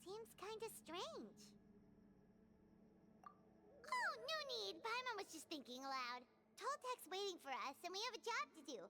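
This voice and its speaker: Casting: childlike fairy sidekick for a game, extremely squeaky, hugely swooping delivery, excitable and chatty. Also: female voice, a little slow, long pauses, heavy background noise, full character voice. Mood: thoughtful. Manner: chatty, third-person self-reference, perky. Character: tiny excitable childlike female fairy companion, chirpy and curious. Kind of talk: video game voice acting.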